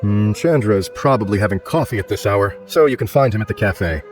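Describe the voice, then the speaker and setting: A man's voice: on the low side, expressive, fairly quick, soft background music, lightly acted. An actor playing a formal, stern but fair male research office supervisor in a video game. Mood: stern, businesslike.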